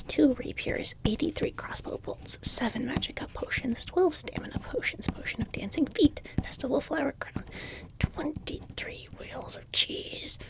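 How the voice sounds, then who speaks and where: A woman's voice: high-pitched, creaky, full character voice, low-quality recording. A woman playing a weary, exasperated shopkeeper in a fantasy audio roleplay, reluctantly polite, with dry, muttered sardonic asides. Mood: exasperated muttering.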